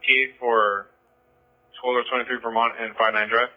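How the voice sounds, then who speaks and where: A man's voice: mid-range voice, flat delivery, moderate pace, dull audio. A man giving short police calls over a scanner radio.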